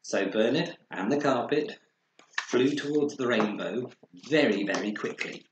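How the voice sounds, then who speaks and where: A man narrating a children's story with expressive, dramatized delivery, recorded in an echoey room. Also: medium pitch, measured pace. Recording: echoey room with faint noise.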